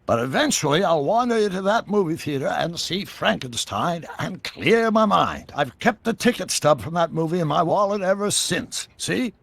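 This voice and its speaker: An actor playing an eccentric older American scientist in a video game, explaining things in a wordy, theatrical way with a gravelly voice. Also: male voice, a little high, expressive, normal speed, hardly any pauses, fairly bright tone, no echo, heavily acted. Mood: animated explaining. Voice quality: gravelly, husky. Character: eccentric older male scientist inventor, excitable and secretive. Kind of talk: scripted video game dialogue.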